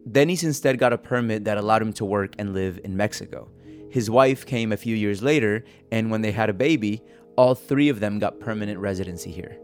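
A man's voice: on the low side, brisk. A man reporting and hosting in a scripted narration style. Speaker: podcast host and reporter. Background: music bed.

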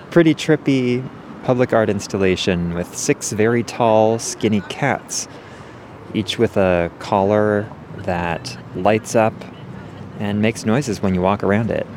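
A man's voice: on the low side, slow pace, darkish tone, a little hiss or hum. A male reporter narrating a scripted radio feature, partly recorded outdoors in a city park.

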